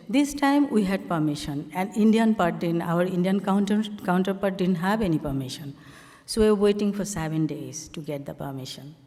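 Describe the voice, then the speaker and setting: A Bangladeshi woman speaks low and mellow, picking her way through a story with plenty of pauses and fillers. She is an artist walking a panel audience through her slides. Her voice rings out with the long reverb of a large hall. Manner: halting, frequent fillers, plain storytelling.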